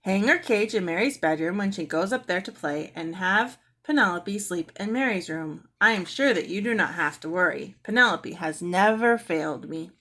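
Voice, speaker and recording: A woman voicing a calm, confident woman who gives reassuring instructions in a children's storybook. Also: lowish voice, moderate pace, few pauses. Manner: assured, instructive.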